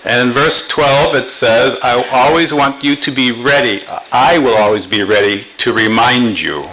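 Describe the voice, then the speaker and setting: American guy lecturing slow, short bits with pauses for the interpreter, bright voice, faint hiss behind. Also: mid-range voice, rough recording. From born in Germany, raised in the United States. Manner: speaks in short phrases, pausing for the interpreter. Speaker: speaker at a creation conference. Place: conference hall.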